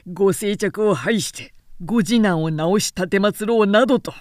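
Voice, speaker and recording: Woman voicing a stiff, sharp-tongued samurai official. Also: mid-range voice, moderate pace, no room sound, clean studio sound, natural voice. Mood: cutting, indignant. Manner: stiff, cutting, formal archaic speech.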